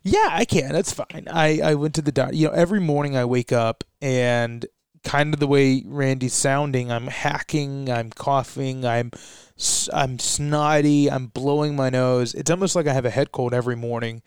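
Young guy on a chat show, smooth clear voice, super dry sound, rambles and laughs at himself. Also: mid-range voice, moderate pace, dry recording. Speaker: co-host. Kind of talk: casual chat.

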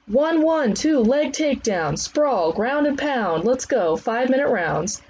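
A woman voicing a loud, foul-mouthed, demanding old combat trainer who barks commands in an audiobook. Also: medium pitch, animated, normal speed, a little hiss or hum, close to an everyday voice, rough recording. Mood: barking, impatient. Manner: barking commands. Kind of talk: acted audiobook dialogue.

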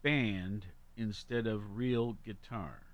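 A man co-hosting a casual talk, reading humorous lists aloud deliberately and emphatically, with offhand asides. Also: on the low side, steady tone. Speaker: talk show co-host.

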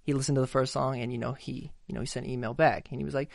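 A young male singer from outside Nashville, Tennessee, chatting as a guest in a studio interview, relaxed and full of fillers and restarts. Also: medium pitch, racing, creaky, dry recording. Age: young adult. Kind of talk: casual interview chat.

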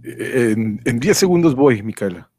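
A man's voice: medium pitch, lively intonation, a little slow, dark tone, husky, dry recording. A man playing a laid-back, affectionate husband and father in an amateur radio drama. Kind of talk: amateur Spanish-language radio drama acting.

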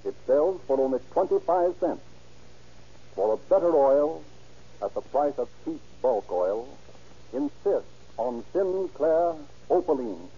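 A man's voice: on the high side, very animated, a little slow, pauses often, mellow, husky. A man announcing and reading ads for a 1930s radio show in a persuasive style, on a lo-fi recording. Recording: dull lo-fi old radio recording.

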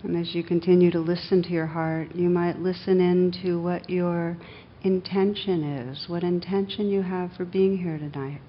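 A woman meditation teacher leading a guided meditation in a gentle, unhurried manner with long pauses, on a dull recording. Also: fairly even intonation. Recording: dull, muffled sound with faint rumbling noise.

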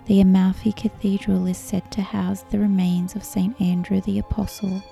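Female meditation guide: very mellow, relaxed tone, even pitch, soft delivery, measured pauses. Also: creaky. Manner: soothing, measured, with long pauses. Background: soft meditation music with birdsong.

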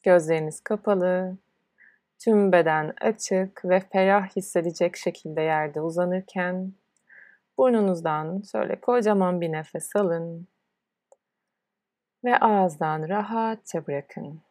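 A woman leading a guided relaxation as a yoga nidra instructor, speaking in a soothing, unhurried way with pauses between instructions. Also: on the low side, darkish tone, dry recording, clean studio sound.

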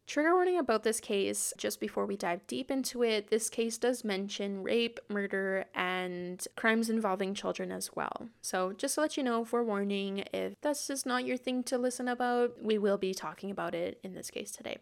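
A woman with a voice of ordinary middle pitch presents a true-crime case from a prepared script. Between the read passages she talks casually, with "like" fillers. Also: moderate pace, gravelly, hardly any pauses. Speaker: podcast host and presenter.

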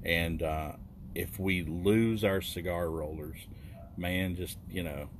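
Brief: fifties male, mild Southern US accent, low voice, relaxed storyteller; faint background hiss. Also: slow. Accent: Southern US, slight. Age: 50s. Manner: easygoing, chuckling storyteller. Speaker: podcast co-host. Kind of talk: casual chat.

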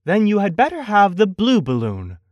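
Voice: mid-range voice, wildly swooping pitch, moderate pace, darkish tone, no room sound, lo-fi sound, pitched above the performer's own voice. A narrator voicing a young, straightforward boy in a children's storybook. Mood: eager, helpful.

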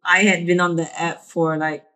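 A woman's voice: fairly low pitch, level tone, normal speed, sharp tone. A woman in her thirties with a Singaporean accent, telling personal stories casually as a guest. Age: 30s. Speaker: interview guest.

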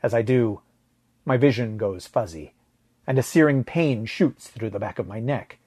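A man's voice: mid-range voice, animated, normal speed, dark tone, husky. A man narrating first-person science fiction fiction from a script as a storyteller.

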